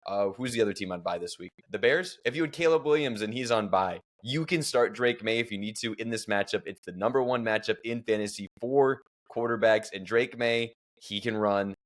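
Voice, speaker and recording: Joking, energetic male podcast host. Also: fairly low pitch, a little fast, few pauses. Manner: joking, energetic, leads the show. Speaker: fantasy football co-host. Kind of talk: casual podcast analysis.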